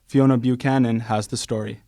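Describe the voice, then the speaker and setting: A man's voice: mid-range voice, level tone, fairly quick, mellow, no echo, studio quality. A man reading a scripted news introduction as a radio host. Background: music.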